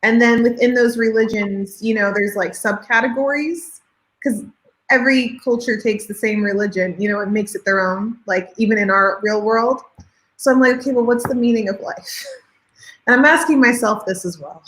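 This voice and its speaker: A woman's voice: fairly high pitch, moderate pace, fairly bright tone, reverberant, poor audio. A woman co-hosting a live writing stream, thinking aloud in casual chat and rambling with many fillers. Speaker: co-host of writing sprints. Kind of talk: casual chat on a video call.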